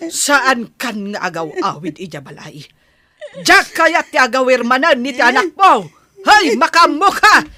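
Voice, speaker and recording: A scornful mother with an Ilocano accent. Her voice is very high and sharp as she scolds, in audio drama style. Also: big pitch swings, measured pace, full character voice. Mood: scornful, angry.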